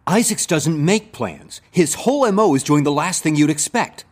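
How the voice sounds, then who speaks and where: A man's voice: fairly high pitch, animated, moderate pace. A man playing an earnest, mildly defensive spy sidekick in a comic audio drama, speaking matter-of-factly.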